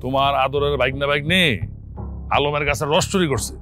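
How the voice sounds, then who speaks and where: A stern elder uncle from a Bangladeshi village drama, played by a man. He interrogates and threatens in a fairly high voice at a brisk pace. Light music plays under his scolding. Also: fairly bright tone, natural voice. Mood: indignant, stern. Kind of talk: television family drama acting.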